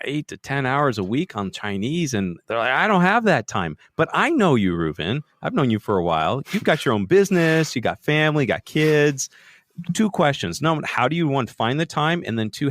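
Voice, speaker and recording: A man's voice: on the low side, no echo, clean studio sound. A man hosting a podcast, encouraging his guest and asking questions conversationally. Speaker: podcast host. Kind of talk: interviewing a guest.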